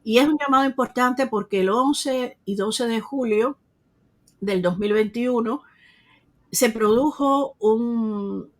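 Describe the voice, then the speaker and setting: A woman, answering an interviewer earnestly, with frequent hesitations and restarts. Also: on the high side. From Cuba. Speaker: interview guest.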